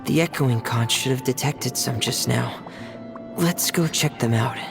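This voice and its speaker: An earnest teenage boy from a video game, speaks with a noticeable creak. The manner is reserved, polite and a little hesitant. Music runs behind the voice. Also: medium pitch, measured pace. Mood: gentle, helpful. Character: soft-spoken, shy, earnest teenage boy diver. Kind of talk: video game character acting.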